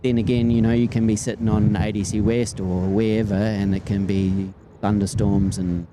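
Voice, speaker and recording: A man with a New Zealand accent, an air traffic controller chatting as a guest on a headset, relaxed and rambling. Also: lowish voice, normal speed. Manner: relaxed, rambling, trails off and restarts. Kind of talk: casual chat. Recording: loud outdoor traffic noise.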